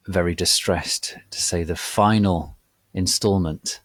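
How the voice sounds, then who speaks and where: A man hosting and reading aloud as a dramatic reading, formal, deliberate and gravely theatrical in a mock-solemn way. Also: low-pitched, dry recording. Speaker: host and reader.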